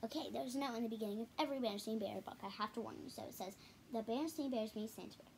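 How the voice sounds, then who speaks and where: A girl of about ten to twelve with a fairly high voice reads a picture book aloud. She goes haltingly, repeating words and stepping out for casual comments. It is a home camera recording in a living room, with some noise in the background. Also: creaky, darkish tone. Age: child, about ten to twelve. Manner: halting reading with stumbles and repeats. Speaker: storybook reader.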